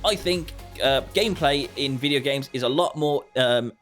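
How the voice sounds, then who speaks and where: A man's voice: mid-range voice, moderate pace, a bit bright, soft background music. A man hosting a casual gaming podcast, chatty and prone to repeating himself. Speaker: podcast host.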